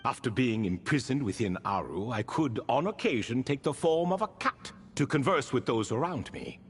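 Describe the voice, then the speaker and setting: A man's voice: mid-range voice, animated, moderate pace, close to an everyday voice. An actor playing an ancient proud male spirit retainer bound in a relic, telling his tale with dry amused asides in a video game cutscene. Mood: wry. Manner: wry, stately storytelling with dry amused asides.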